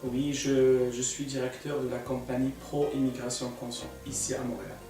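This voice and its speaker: A man's voice: mid-range voice, little pitch movement, moderate pace, music in the background. A man from Morocco, an immigration consultant, earnestly explaining on a studio panel step by step, with many fillers. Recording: echoey room, mic far off.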